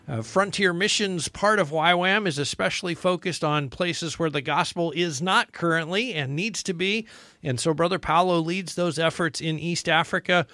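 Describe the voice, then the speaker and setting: A man's voice: fairly high pitch, moderate pace, bright, forceful tone, dry recording. A man with an American accent hosting a conversational radio interview in an earnest, encouraging way. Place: radio studio.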